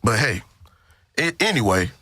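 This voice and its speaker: Bright-voiced American man, emphatic and profane. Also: medium pitch, big pitch swings, unhurried, no room sound, studio quality. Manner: opinionated, emphatic, profane, repeats phrases for emphasis. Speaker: podcast co-host. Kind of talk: casual sports debate.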